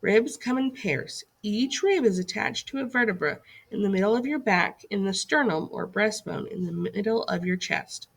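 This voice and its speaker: A woman's voice: medium pitch, normal speed, creaky, few pauses, breathy edge. A woman teacher reading a scripted lesson aloud for young children in an instructive way, putting questions to her listeners.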